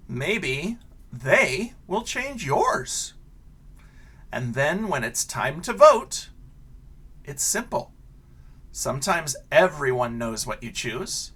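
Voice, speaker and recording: A man who is a teacher reading a children's picture book aloud, with expressive questions and casual asides pitched at young listeners. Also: breathy edge.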